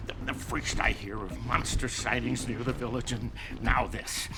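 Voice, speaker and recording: A man's voice: mid-range voice, little pitch movement, normal speed, over music, close to an everyday voice. A man voicing a flustered, greedy village mayor in a video game cutscene, stammering and wheedling. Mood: fretful.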